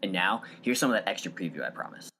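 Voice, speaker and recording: A man's voice: low-pitched, animated, quick pace, bright, forceful tone. A man hosting a podcast, reading scripted narration in an earnest, carefully enunciated way. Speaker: podcast host.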